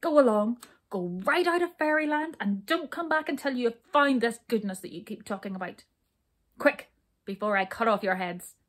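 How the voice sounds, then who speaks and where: A woman's voice: on the high side, animated, moderate pace. A woman voicing an imperious, hot-tempered king in a children's story, stern and blustering. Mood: furious, roaring.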